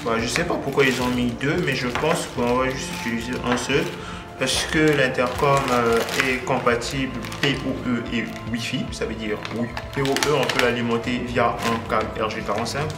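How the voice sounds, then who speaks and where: A man casually presenting and unboxing a product as a video host, with halting phrasing and frequent filler sounds. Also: mid-range voice, fairly even intonation, echoey room, music in the background.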